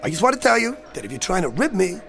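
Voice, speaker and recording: A man's voice: sits high, sing-song, racing, gravelly, a bit bright, full character voice. A man playing a brash, argumentative hard drinker in a radio drama, with a pushy, sarcastic way of talking. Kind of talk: dramatized radio-play dialogue. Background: faint music.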